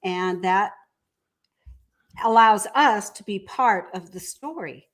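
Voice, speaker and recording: A woman's voice: medium pitch, slow. A woman speaking as an entrepreneur guest, explaining her ideas conversationally.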